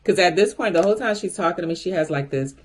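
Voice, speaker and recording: A woman with a deep voice telling a story to her viewers in a casual, animated way. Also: fast. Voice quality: deep, gravelly voice. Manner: chatty and animated, addresses viewers directly. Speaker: vlogger.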